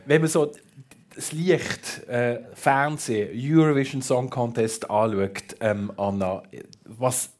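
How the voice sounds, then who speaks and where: A man's voice: mid-range voice, slow pace, a little mellow. A man with a Swiss accent hosting a conversational interview, putting questions and prompts to guests. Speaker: interview host.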